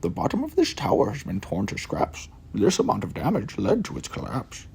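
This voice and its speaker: A man's voice: fairly low pitch, wildly swooping pitch, moderate pace, gravelly, darkish tone, slight background noise, full character voice. A man voicing a young female dog detective in a storybook, earnest and inquisitive. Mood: puzzled.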